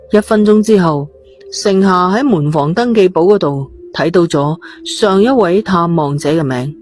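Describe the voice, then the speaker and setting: A woman narrates a mystery novel in Hong Kong Cantonese. Her voice is mellow and dark, set a little lower than most women's. Soft music plays behind the reading. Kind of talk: scripted horror-mystery story narration.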